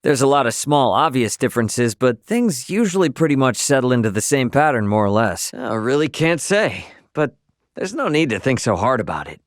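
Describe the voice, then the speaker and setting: A man's voice: mid-range voice, big pitch swings, moderate pace, no echo, studio quality, natural voice. An actor playing an easygoing, cocky young male starship pilot and swordsman in a video game, with breezy, slangy, informal delivery. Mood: casual, thoughtful.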